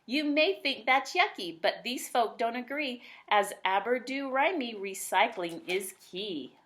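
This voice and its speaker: A woman's voice: a little high, expressive, a little slow, a bit bright. A woman reading aloud expressively in the style of a children's storybook.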